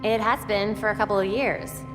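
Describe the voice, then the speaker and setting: A woman playing a sharp, analytical young vigilante tech expert in a video game cutscene. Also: mid-range voice, fairly even intonation, normal speed, natural voice. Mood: focused. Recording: reverberant hall, loud music behind.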